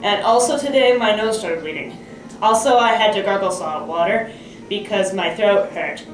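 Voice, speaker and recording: A woman's voice: medium pitch, normal speed. Echoey woman reading a letter aloud. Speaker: staff member reading aloud. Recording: echoey room, distant mic, faint music. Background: faint music.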